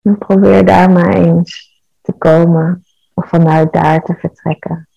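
Very slow, gravelly woman guiding meditation. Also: lowish voice, no room sound, highs cut off. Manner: calm, measured, soothing. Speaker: guided meditation leader. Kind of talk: guided meditation.

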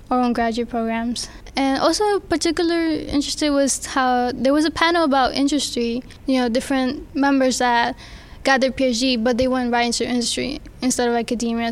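A young woman with a high, smooth, clear voice answers an interview question. She speaks hesitantly, pausing on fillers. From Ecuador. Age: young adult. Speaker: student.

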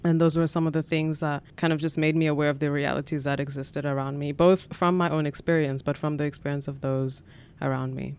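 A woman's voice: sits low, little pitch movement, fairly quick, hardly any pauses, darkish tone, smooth. A South African woman in her twenties, a podcast guest answering questions in a thoughtful, reflective way with frequent fillers. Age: 20s. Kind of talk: conversational interview answers.

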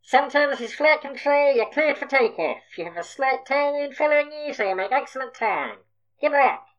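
A man voicing a brisk flight control tower operator in an audiobook. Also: extremely high pitch, expressive, a bit bright, muffled recording, voice pushed up. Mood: brisk, businesslike. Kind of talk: audiobook character voice.

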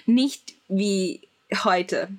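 A woman's voice: medium pitch, very slow, a little mellow. A young woman whose first language is Japanese speaks German with a Japanese accent in a casual chat, searching for words with many fillers and laughing often. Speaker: guest.

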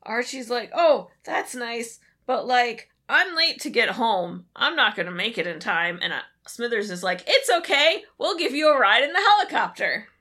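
A woman with a bright, forceful voice that sits a little high and swings widely in pitch. She is a co-host casually retelling a story, animatedly slipping into little bits of acted dialogue. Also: normal speed, hardly any pauses. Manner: animated, acts out characters' lines in retelling. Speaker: podcast co-host. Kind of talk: casual episode recap chat.

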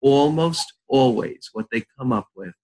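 An older man, a therapist, leading a slow guided visualization and then chatting about his practice. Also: mid-range voice, expressive, dark tone. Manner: slow soothing guided-meditation delivery.

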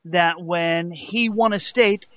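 Man, high voice, casual banter, prodding questions, muffled lo-fi audio. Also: slow, fairly bright tone, no room sound. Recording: dull lo-fi audio.

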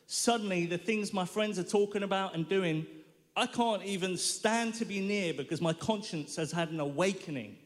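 A man giving his testimony at a live worship event, animated and self-mocking as he tells his story. His voice sits a touch high for a man, and it carries through a big hall with a long reverb. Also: moderate pace. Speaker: guest speaker. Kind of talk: personal testimony sermon.

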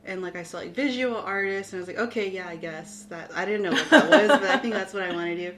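A woman's voice: mid-range voice, lively intonation, fast, fairly bright tone, slight background noise. A young adult woman from Austin, Texas, a graphic designer guest talking casually, rambling and modest with many fillers. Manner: rambling, heavy on "like", giggly and modest. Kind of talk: casual interview chat.

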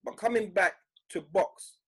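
A man's voice: high voice, unhurried, fairly bright tone, slightly rough. A man, co-hosting a podcast, joking and arguing his point in casual banter. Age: 30s. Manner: jokey, argues his point patiently. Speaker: podcast co-host.

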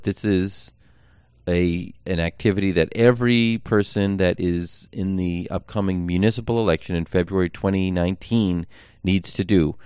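An American man with a fairly low, smooth voice hosts an informal campaign podcast. He explains things earnestly in short, measured phrases, like a candidate briefing voters. The sound is very dry, with no room around the voice. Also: darkish tone, dry recording, highs cut off. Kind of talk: informal campaign update monologue.

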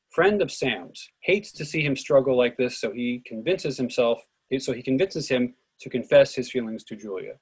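A man with a husky voice reading out a play's stage directions and cues as scripted narration. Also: mid-range voice, poor audio.

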